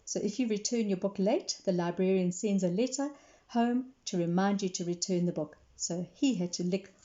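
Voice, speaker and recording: A woman's voice: medium pitch, moderate pace, warm tone, highs cut off. A woman reading a picture book aloud to children as a librarian, with simple explanations along the way. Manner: clear explanatory storytelling for young listeners.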